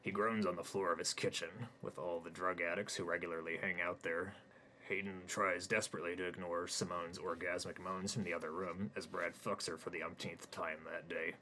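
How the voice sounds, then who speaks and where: A man with a deep yet bright voice narrating his own comic story, flat and matter-of-fact. A faint hiss sits under his reading. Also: brisk, rough recording. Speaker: narrator and author.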